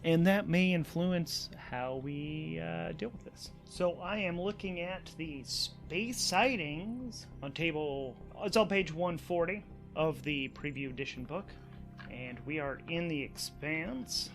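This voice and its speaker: A man hosting a solo tabletop role-playing game, narrating and thinking aloud in an animated, conversational way. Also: fairly high pitch, takes it slowly, creaky. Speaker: host and solo game master. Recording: background music under the voice. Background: music.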